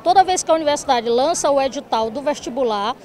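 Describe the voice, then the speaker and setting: A woman representing the university, talking briskly and matter-of-factly into a handheld reporter mic at an outdoor fair. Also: sits high, animated, darkish tone, a faint crowd. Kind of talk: interview.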